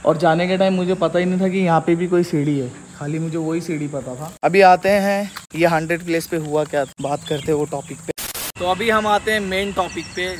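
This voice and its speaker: A man vlogging as he explores an abandoned school by day, talking in an excited, spooked way and mixing in English words. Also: a little high, fairly quick. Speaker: vlogger exploring a haunted place. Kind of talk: casual walk-through vlog. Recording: loud insect and cricket noise behind.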